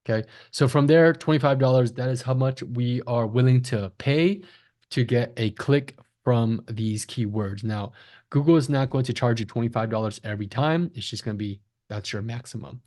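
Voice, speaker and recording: Man, online instructor, conversational step-by-step tutorial, frequent check-in tags, mid-range pitch. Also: normal speed, darkish tone. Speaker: online marketing instructor, agency founder. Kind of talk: screen-share tutorial, explaining step by step.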